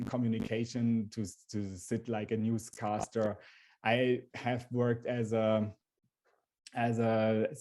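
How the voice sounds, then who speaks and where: A man's voice: mid-range voice, mellow. A man in his forties from Germany, with a German accent, hosting a live webinar presentation in an earnest way, often restarting his phrases. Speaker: webinar host.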